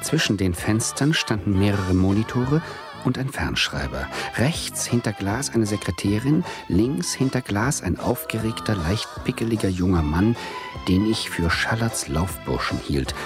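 A man's voice: lowish voice, expressive, a little slow, over music. A man acting a meek, admiring novice investor who narrates a 1970s radio play in a modest, reflective manner. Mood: admiring, wry. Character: meek, admiring former socialist turned naive small investor.

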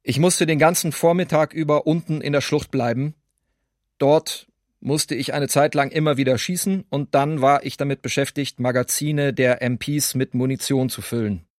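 A man's voice: mid-range voice, fairly even intonation, normal speed, dry recording, studio quality, lightly acted. A man playing a German perpetrator of wartime shootings, giving sober, self-pitying testimony in a documentary radio drama. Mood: sober, matter-of-fact.

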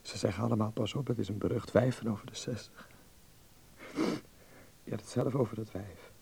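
An actor playing a timid, anxious young Dutchman in a radio play, with a husky, creaky voice and a hesitant, subdued manner. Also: fairly low pitch, fairly quick, dark tone, slight background noise.

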